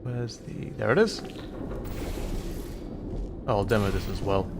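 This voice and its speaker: A man's voice: on the low side, slow pace, noisy background. A man livestreaming a video game, giving casual commentary and rambling explanations with fillers and little laughs.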